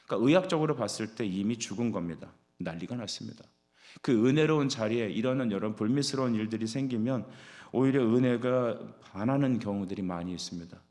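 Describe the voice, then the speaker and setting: A man's voice: on the low side, brisk, darkish tone. A man preaching an expository sermon as a pastor, exhorting his listeners and often putting rhetorical questions to them.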